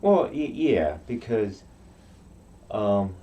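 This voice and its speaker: A man raised in Okinawa whose first language is Japanese, telling his story in a halting interview with many restarts. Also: on the low side, big pitch swings, dark tone, slight background noise. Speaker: guest.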